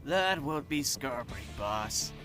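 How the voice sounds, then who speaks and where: A man's voice: mid-range voice, lively intonation, slow pace, a bit bright. A man playing a cocky, dim henchman in an audio drama. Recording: music behind the voice.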